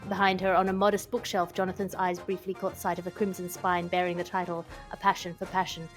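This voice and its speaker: A woman's voice: lowish voice, little pitch movement, fast, faint music behind, close to an everyday voice. A woman performing an earnest, florid narrator of a mock period-romance audiobook, dramatic in delivery.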